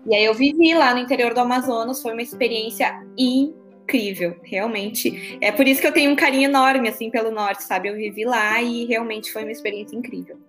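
A chatty woman in her thirties with a strong Porto Alegre gaúcho accent and a fairly high voice. She talks casually as an interview guest, laughing easily, with faint music behind her. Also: brisk, hardly any pauses, fairly bright tone. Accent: Porto Alegre (gaúcho) Portuguese. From Porto Alegre, southern Brazil. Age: 30s. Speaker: guest, court officer and study mentor.

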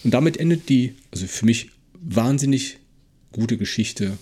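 A man's voice: medium pitch, animated, normal speed, mellow, breathy edge. A man co-hosting a podcast, giving his opinions conversationally and repeating short words of agreement. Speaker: podcast host. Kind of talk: casual discussion and critique of an audio drama.

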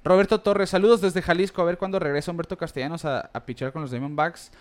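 A chatty man co-hosts a relaxed baseball podcast. His voice sits a touch higher than most men's as he reads out listener comments and tosses in quick asides. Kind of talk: casual baseball podcast chat.

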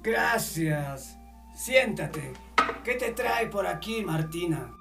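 A man playing a proud, cold village hired killer in a radio drama, deliberate and boastful. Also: high-pitched, lively intonation, gravelly, over music, full character voice. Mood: cool, bargaining.

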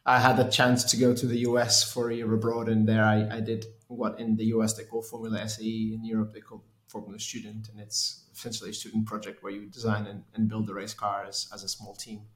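A man's voice: fairly low pitch, monotone, a little fast, hardly any pauses, roomy sound, low-quality recording. A man from Portugal in his thirties, a race engineer answering interview questions in a hesitant way with many restarts. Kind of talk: conversational interview.

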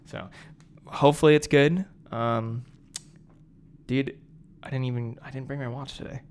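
A man's voice: mid-range voice, fairly even intonation, measured pace, a little mellow, clear, resonant tone. A young man co-hosting a podcast, talking casually and eagerly about films and music. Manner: enthusiastic, chatty, self-aware. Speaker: podcast co-host. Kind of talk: casual chat.

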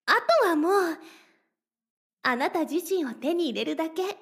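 Casting: obsessive lovestruck young woman, extremely high pitch, breathy, sweetly menacing, anime audio drama. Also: lively intonation, normal speed, a bit bright. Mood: obsessive adoration. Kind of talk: Japanese anime-style voice drama acting.

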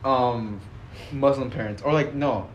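A young man on a casual podcast panel, slangy and sweary, carefully circling back to soften his points. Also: medium pitch, moderate pace, lo-fi sound. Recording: roomy echo, faint noise.

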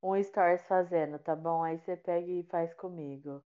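A woman's voice: fairly low pitch, steady tone, moderate pace, dark tone, clear, resonant tone. A woman in her late twenties co-hosting a podcast, chatting casually in a friendly, teasing and reassuring way. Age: late 20s. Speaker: podcast co-host. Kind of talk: casual chat. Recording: dull, lo-fi sound.

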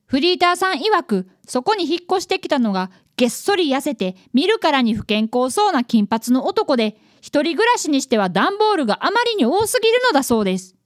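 A woman's voice: high-pitched, big pitch swings, fairly quick, no echo, clean studio sound. A woman playing an earnest, polite eleven-year-old boy apprentice detective in a radio drama, narrating and talking dramatically.

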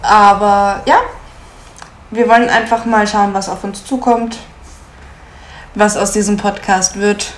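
A woman in her twenties from Munich, co-hosting a podcast in casual chat, chatty and quick to laugh, with frequent filler sounds. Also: mid-range voice, level tone. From Munich, Germany. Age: 20s. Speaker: podcast co-host. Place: at home in Munich. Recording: echoey room with faint noise.

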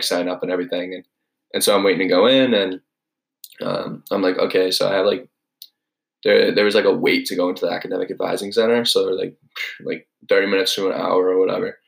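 Low-voiced young man rambling on his podcast. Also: level tone, fast, creaky, rough recording. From New England. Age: young adult. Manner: rambling, heavy use of filler words. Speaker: podcast host. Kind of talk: casual solo storytelling.

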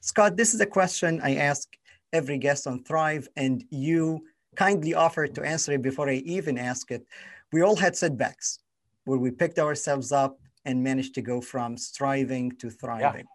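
A man's voice: a little high, normal speed. Polite, formal male podcast host. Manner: polite, formal, gives short affirmations. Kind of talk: interview hosting.

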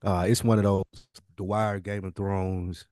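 A man's voice: sits low, level tone, a little slow, darkish tone. A man co-hosting a podcast, chatting casually in slangy, informal language with some swearing. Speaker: podcast co-host.

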